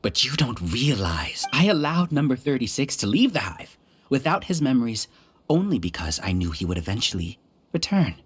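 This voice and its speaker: Very low-voiced, arrogant villainess. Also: female voice, slight background noise. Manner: measured, grandiose, condescending. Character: arrogant hive-mind villain, cold and scheming overseer. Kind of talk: scripted video game voice acting. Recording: voice over background game music.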